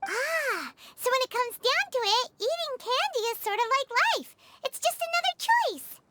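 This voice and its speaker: Game sidekick: little girl, very high-pitched, animated, chirpy, naive and exclamatory. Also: moderate pace, full character voice. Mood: curious, chatty. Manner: exclamatory, bubbly. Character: small cheerful childlike female companion, chatty and naive. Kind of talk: video game character acting.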